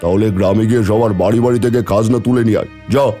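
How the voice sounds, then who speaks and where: A man playing a cruel, greedy landlord in an animated folk tale, commanding and menacing. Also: on the low side, rapid-fire, soft background music, close to an everyday voice. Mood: menacing anger. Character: cruel greedy landlord barking orders at his henchman.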